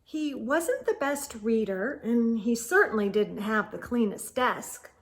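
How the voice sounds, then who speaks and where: A woman hosting a storytime, reading a children's book aloud in an expressive, deliberate storytelling way. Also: fairly high pitch.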